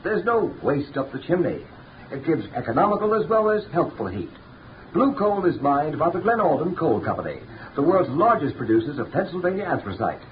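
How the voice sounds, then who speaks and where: A man's voice: on the high side, dull audio. A male radio announcer reading a 1930s commercial in a polished, persuasive way. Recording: scratchy 1930s radio recording.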